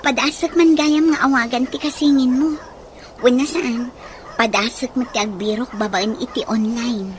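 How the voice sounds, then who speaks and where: Actress as friendly young female nurse, eager, high pitch, radio drama, clear noise. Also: fairly even intonation, moderate pace, close to an everyday voice. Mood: hopeful. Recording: noisy, with ambient sound.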